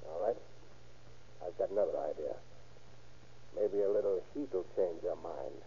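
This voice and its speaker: A man's voice: deep voice, moderate pace, warm tone, a little hiss or hum. An actor playing a ruthless, bullying gangster in an old-time radio crime drama, barking clipped threats in tough-guy slang. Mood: cold menace. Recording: tinny, narrow old radio broadcast.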